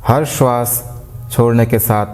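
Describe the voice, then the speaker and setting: A man leading a devotional guided meditation, giving gentle, unhurried instructions in short phrases with pauses between them. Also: mid-range voice, steady tone. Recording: long reverb, like a large hall.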